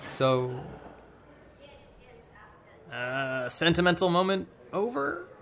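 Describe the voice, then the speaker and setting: A woman's voice: on the low side, big pitch swings, slow pace, creaky, full character voice. A woman playing a blunt, wry, somewhat awkward teenage friend in a naturalistic audio drama. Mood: flustered. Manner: stammering when flustered, casual, wry.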